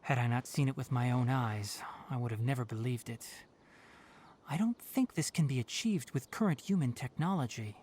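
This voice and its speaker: A man voicing a thoughtful young scholar in a video game, speaking in formal, measured and articulate phrases. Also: medium pitch, a little mellow, slight background noise, close to an everyday voice. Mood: astonished, grave. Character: thoughtful young male scholar, calm and perceptive.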